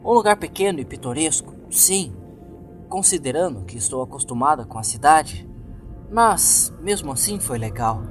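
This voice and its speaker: Man voicing an earnest, troubled young witch, pitched up. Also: big pitch swings, normal speed. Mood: confiding, uneasy. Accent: Brazilian. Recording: music bed behind the voice.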